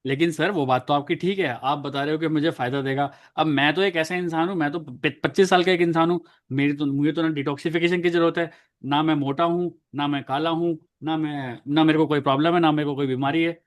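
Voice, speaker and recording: A man's voice: on the high side, a little fast. A young man in his twenties who trains network marketers, playing a doubtful customer and then coaching viewers on how to answer them. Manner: animated, coaching the viewer directly. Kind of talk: role-play sales training.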